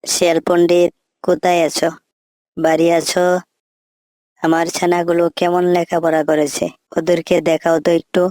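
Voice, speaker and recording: A woman's voice: lowish voice, level tone, normal speed, pauses often, darkish tone, no echo, lightly acted. A woman voicing a trusting, simple-minded crocodile parent in a children's cartoon fable, earnest and plainspoken. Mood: calling out.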